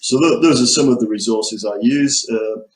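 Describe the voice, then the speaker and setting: A man from the UK introducing himself as an English teacher and walking through his lesson materials, measured, with frequent ums and restarts. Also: mid-range voice, roomy sound, low-quality recording. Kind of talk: self-introduction and screen walkthrough.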